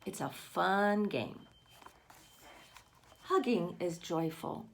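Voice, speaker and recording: A woman's voice: fairly low pitch, lively intonation, extremely slow, creaky, slight background noise. A woman reading a picture book aloud to children, expressively, with asides and questions addressed to the young listeners. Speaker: read-aloud storyteller for children.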